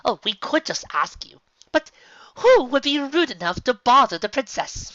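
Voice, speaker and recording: A woman's voice: high voice, very animated, moderate pace, a bit bright, dry recording, voice pushed up. A woman voicing a brash, cocky female pegasus with a sarcastic, teasing manner. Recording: dull lo-fi recording.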